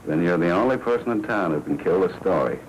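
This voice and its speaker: An actor playing a sardonic, menacing American crime boss and jealous husband in a 1940s film noir. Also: male voice, low-pitched, expressive, quick pace, lo-fi sound. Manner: sardonic, needling, tough slangy talk. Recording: noisy old 1940s film soundtrack.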